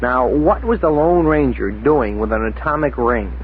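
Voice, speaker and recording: A man with a medium-pitched voice chats on a radio panel in an inquisitive way. The old recording is narrow and tinny, with some noise behind him. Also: animated, moderate pace. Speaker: radio panelist questioning the guest. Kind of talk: casual radio panel chat. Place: radio studio.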